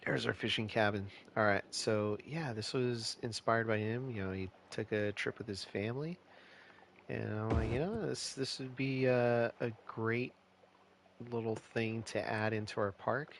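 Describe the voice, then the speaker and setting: Gravelly low-voiced man streaming a game. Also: measured pace, a little hiss or hum. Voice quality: a bit nasal, stuffed-up. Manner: relaxed, rambling, many fillers and restarts. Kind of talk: casual live-stream commentary.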